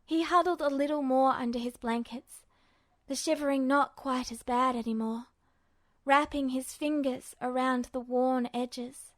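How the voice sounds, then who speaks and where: A woman with a high voice narrates fiction from a script. The recording is very dry, with the sound of a story read aloud for listeners. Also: steady tone, unhurried, no room sound. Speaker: podfic narrator.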